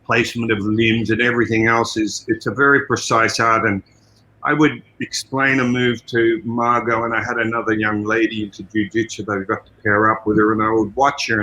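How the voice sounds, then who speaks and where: Bright-voiced older Australian man. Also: lowish voice, moderate pace, rough recording. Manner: animated, expansive storyteller. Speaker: guest, martial arts trainer. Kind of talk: podcast interview.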